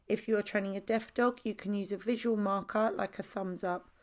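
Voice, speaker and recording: Croaky woman hosting a dog-training podcast. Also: mid-range voice, fairly even intonation, brisk. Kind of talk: scripted podcast teaching.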